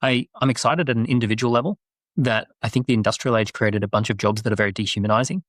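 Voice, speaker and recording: A man speaking as a guest on a podcast, animated and persuasive. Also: medium pitch, fast, dry recording, studio quality. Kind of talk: podcast conversation.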